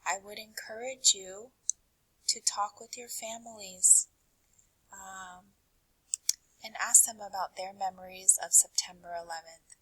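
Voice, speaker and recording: A woman reading a children's picture book aloud in an expressive, dramatic way, with deliberate pauses and hushed phrase endings. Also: mid-range voice, husky, low-quality recording.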